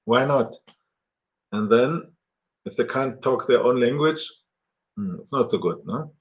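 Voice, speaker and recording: A middle-aged German man talking casually to camera in halting, self-correcting English with a German accent. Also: mid-range voice, animated, highs cut off.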